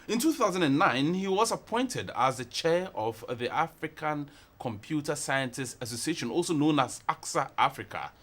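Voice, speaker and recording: A man's voice: mid-range voice, a bit bright. A man, hosting a TV interview show. He reads a long formal introduction, then stumbles and repeats words as he probes his guest. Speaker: TV talk show host.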